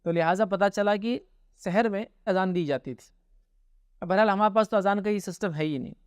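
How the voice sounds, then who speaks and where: A man's voice: high-pitched, brisk, clean tone, dry recording, clean studio sound. A man with an Indian accent answering religious questions informally as a scholar, explaining and often checking that listeners follow.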